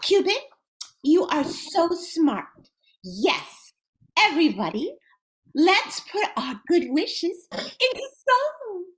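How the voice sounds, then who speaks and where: A very high, girlish reindeer played by a woman in a Christmas radio play. The delivery is slow and sing-song, swooping with giddy sweetness. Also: sing-song, a bit bright, exaggerated voice. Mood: giddy, playful.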